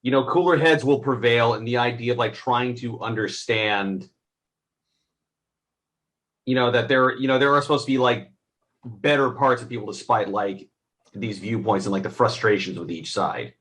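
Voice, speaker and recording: Halting man in casual film discussion. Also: mid-range voice, a bit bright. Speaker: film reviewer, co-host.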